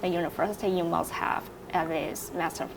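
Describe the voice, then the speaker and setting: A woman's voice: on the low side, steady tone, a little fast, noisy background. A woman hosting an interview, asking short, simple questions. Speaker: interview host.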